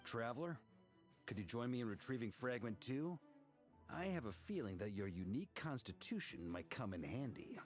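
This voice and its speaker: An actor playing a jovial middle-aged knight commander, genial and easygoing in a game. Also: male voice, medium pitch, big pitch swings, moderate pace, faint music behind, close to an everyday voice. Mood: commanding. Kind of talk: video game voice acting.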